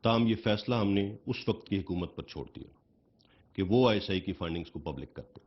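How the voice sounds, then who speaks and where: A man's voice: sits low, expressive, rapid-fire. A man with a Pakistani accent delivering a formal press conference statement as an official. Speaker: military official. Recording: dull clip with music underneath. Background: background music.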